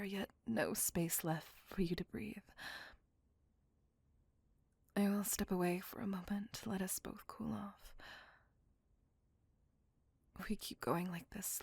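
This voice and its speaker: A woman voicing a lovesick, possessive vampire lady in an ASMR roleplay, courtly and formal, in a breathy whisper. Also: medium pitch, fairly even intonation, a little slow, no room sound. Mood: apologetic, restrained. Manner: formal, old-fashioned, courtly wording. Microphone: close microphone.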